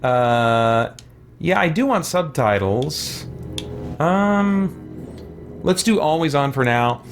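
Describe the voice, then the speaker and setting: A man's voice: a little high, animated, takes it slowly, soft background music. A man giving casual gaming commentary as a let's play host, rambling and thinking aloud over menus.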